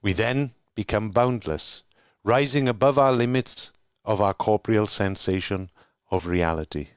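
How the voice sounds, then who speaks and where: A man from Wales with a Welsh accent, co-hosting an online discussion and reading prepared remarks in a measured, earnest way over a video call. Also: fairly low pitch, dry recording, clean studio sound. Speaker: co-host. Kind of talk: scripted welcome and reading.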